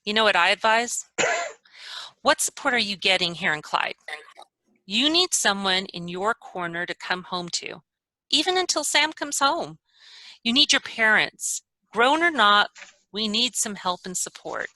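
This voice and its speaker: Woman playing a very lively, bright 1940s best friend. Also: medium pitch, unhurried, no room sound, poor audio. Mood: concerned. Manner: expressive, advising. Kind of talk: play table reading. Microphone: video call.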